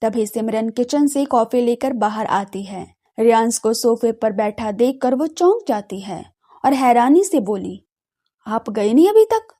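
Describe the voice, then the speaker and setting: A woman narrating a scripted audio drama with expressive storytelling. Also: a little high, a little slow.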